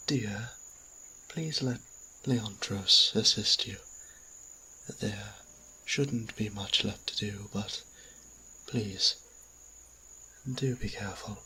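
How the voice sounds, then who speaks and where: A man playing an anxious, gentle, polite churchman in a fantasy audio roleplay, speaking hesitantly and softly with a husky, breathy voice. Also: fairly low pitch, full character voice. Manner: hesitant, halting, soft-spoken, apologetic.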